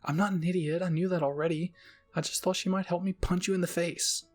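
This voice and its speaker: A man's voice: high-pitched, fairly even intonation, brisk, pitched above the performer's own voice. A man voicing a whiny, feisty teenage girl cadet who complains in an anime story. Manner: complaining, pleading.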